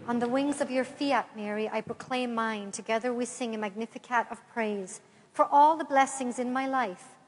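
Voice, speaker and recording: A woman's voice: on the high side, slight background noise. A woman in her forties with an Irish accent, giving a parish talk to a congregation and leading them in prayer. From Ireland. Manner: lively, warm address to a mixed-age congregation, then slow measured prayer reading. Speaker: retreat speaker. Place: large church sanctuary. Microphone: far camera in the pews. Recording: long church reverb from far off.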